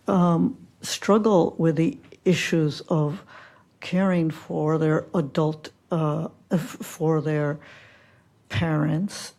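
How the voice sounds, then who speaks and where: A woman physician giving roundtable reflections, soft-spoken and halting, with many fillers. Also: low voice. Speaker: physician panelist. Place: conference room.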